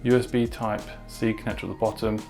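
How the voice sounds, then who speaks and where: A man's voice: on the low side, little pitch movement, fast, darkish tone, soft background music. A man talking casually through a product unboxing and review in an informal way, with short asides.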